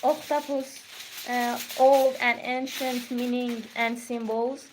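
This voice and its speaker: A woman from Iran with a Persian accent, talking slowly in a fairly high voice. She hosts a crafting livestream from her studio, using simple, halting English and often repeating herself. Her speech sounds plainly non-native. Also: little pitch movement, background noise. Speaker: artist hosting a crafting livestream. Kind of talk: casual livestream chat.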